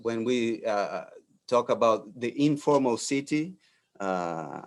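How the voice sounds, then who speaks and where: A Brazilian architect in his forties giving a talk in English as a second language, halting with frequent fillers and restarts. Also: mid-range voice, animated. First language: Portuguese. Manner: hesitant, many fillers and restarts. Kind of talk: lecture presentation.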